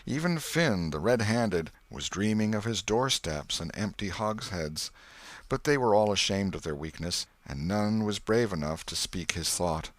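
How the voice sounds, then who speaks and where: Low-pitched man narrating a bedtime story. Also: animated, no echo. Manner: measured literary storytelling. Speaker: audiobook narrator.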